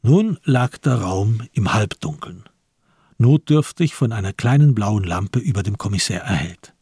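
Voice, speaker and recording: A man reading scripted literary narration as the narrator of a radio play, with a husky voice. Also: fairly low pitch, animated, unhurried, darkish tone, dry recording, clean studio sound.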